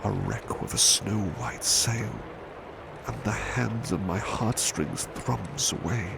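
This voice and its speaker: A man playing a devoted, proud butler in a soft-spoken ASMR roleplay, speaking in a hushed, formal and caring way. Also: fairly low pitch, gravelly, full character voice. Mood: melancholy, tender. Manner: hushed, slow, formal, gently solicitous. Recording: strong background ambience noise.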